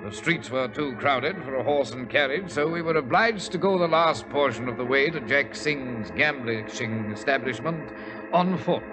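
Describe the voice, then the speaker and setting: An actor playing a genial, fussy English gentleman with a British accent in a 1940s radio drama, hesitant and blustering in an old-fashioned polite way. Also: medium pitch, animated, moderate pace, loud music behind the voice, close to an everyday voice, poor audio.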